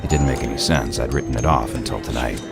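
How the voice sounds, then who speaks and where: A man playing a gruff, sardonic detective in an audio play, with a gravelly voice. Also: a bass voice, fast talker. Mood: explaining. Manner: dry, sardonic. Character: gruff, sardonic male detective laying out a case. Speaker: voice actor in an audio drama. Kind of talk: audio play acting, detective explaining a case. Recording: music bed under the voice.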